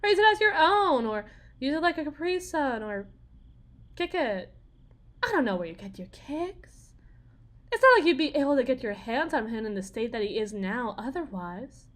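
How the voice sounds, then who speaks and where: A woman playing a desperate, cunning battered young mother bargaining in a dramatic audio roleplay, halting, wry and theatrical. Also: high voice, big pitch swings. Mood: coaxing, bargaining. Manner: halting, wry, theatrical, with repeated words and pauses.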